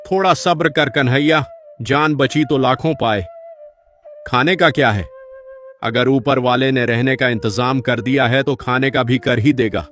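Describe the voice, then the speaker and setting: A man playing a humble, patient old village uncle in an animated moral story, speaking earnestly and reassuringly. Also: mid-range voice, close to an everyday voice. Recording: music behind the voice.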